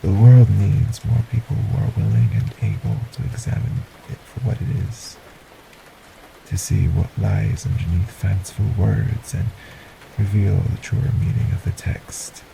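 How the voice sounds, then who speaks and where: A man voicing a polite, sinister male stranger in an audio roleplay, speaking formally and courteously with a soft, breathy menace. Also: fairly low pitch, animated, unhurried, background noise, exaggerated voice. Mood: smooth, courteous.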